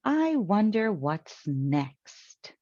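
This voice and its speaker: A woman's voice: medium pitch, very animated, drawn-out words, warm tone, no room sound, muffled recording, pitched above the performer's own voice, full character voice. A woman voicing a cheerful, naive, literal-minded housekeeper from a children's storybook, earnest and exclamatory. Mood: musing, upbeat.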